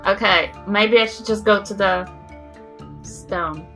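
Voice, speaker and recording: A woman's voice: medium pitch, slow, pushed and bright, soft background music. A woman with a New Zealand accent, playing a tabletop game and thinking aloud hesitantly about her moves. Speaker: player. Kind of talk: casual tabletop play.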